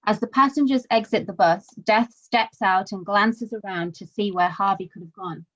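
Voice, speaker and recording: A woman's voice: mid-range voice, moderate pace, a bit bright, muffled recording. A woman reading stage directions as scripted narration.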